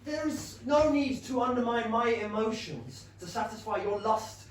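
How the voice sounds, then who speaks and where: An actor playing a proud, aloof Regency gentleman with a formal, dry, clipped manner. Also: very high voice, expressive, moderate pace. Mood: indignant. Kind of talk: period comedy stage acting. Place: small London theatre. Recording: very echoey theatre, far mic, faint noise.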